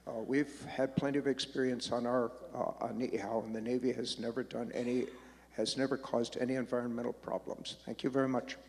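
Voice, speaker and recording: A man speaks briefly and firmly at a public meeting, his voice flat and level with almost no rise and fall. A long hall reverb trails his words. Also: medium pitch, fairly quick, gravelly. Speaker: public commenter.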